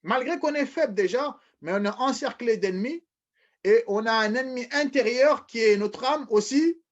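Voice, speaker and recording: Give me a male preacher with a high voice who repeats phrases and restarts, recorded on dull audio. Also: normal speed. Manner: repeats phrases, stumbles and restarts, addresses brothers and sisters. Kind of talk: religious lecture.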